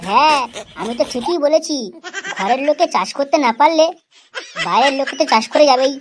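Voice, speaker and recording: A woman's voice: high-pitched, expressive, brisk, noisy background. A woman playing a nosy, bawdy middle-aged village mother-in-law in a comedy cartoon, scolding and suggestive. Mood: meddling. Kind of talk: Bengali comedy cartoon dialogue. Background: background music.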